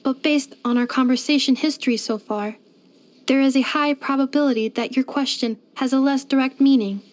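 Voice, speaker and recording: Woman doing a polite robot helper girl from a video game, high voice, very precise and formal, devoted to her people. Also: steady tone, moderate pace, a little mellow, natural voice. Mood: earnest, devoted. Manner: formal, precise, computer-like phrasing. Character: polite, devoted female household robot companion. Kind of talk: scripted video game character voice lines.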